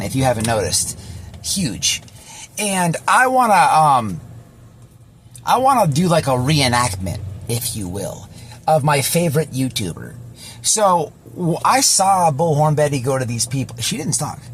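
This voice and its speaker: A man hosting casual, rambling online commentary, halting and repeating words, with slangy, sweary phrasing. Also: on the high side, a little hiss or hum. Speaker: YouTube commentary host.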